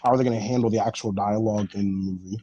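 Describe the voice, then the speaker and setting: A man's voice: on the low side, steady tone, racing, mellow, husky, no echo, lo-fi sound. A man on a podcast panel, chatting in a casual, slangy way.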